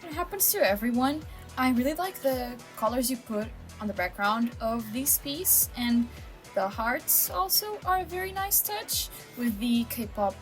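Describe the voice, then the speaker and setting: A woman's voice: fairly high pitch, animated, unhurried. A woman co-hosting a casual art commentary in a chatty, encouraging, informal way. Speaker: co-host, digital artist. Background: background music.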